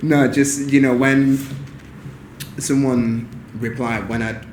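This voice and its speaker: A man's voice: mid-range voice, slow pace. A man from Huddersfield, chatting casually as an interview guest with lots of fillers. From Huddersfield, England. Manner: relaxed, rambling, lots of fillers and "do you know what I mean". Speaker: photographer guest. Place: studio room. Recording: echoey room with clear background noise.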